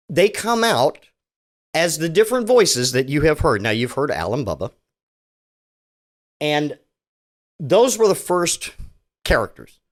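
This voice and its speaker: A middle-aged man hosting a solo podcast, talking casually and sarcastically, rambling with many restarts. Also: mid-range voice. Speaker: podcast host.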